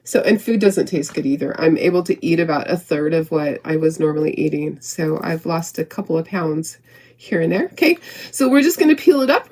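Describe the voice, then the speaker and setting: A middle-aged woman with a squeaky voice, recovering from illness, demonstrating a craft project in a rambling, giggly, chatty way. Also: a little mellow. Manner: rambling, self-interrupting, giggly. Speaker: craft show host.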